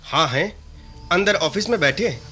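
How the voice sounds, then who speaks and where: An actor playing a cheeky but timid office servant in a radio play, inquisitive and deferential. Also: male voice, on the high side, lively intonation, normal speed, sharp tone, faint music behind. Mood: curious, cheeky. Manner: inquisitive, teasing, deferential when threatened. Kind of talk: Hindi radio play acting.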